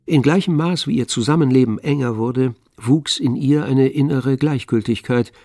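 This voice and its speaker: A man narrating a literary radio play in a measured, composed manner. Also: medium pitch, dark tone, soft background music.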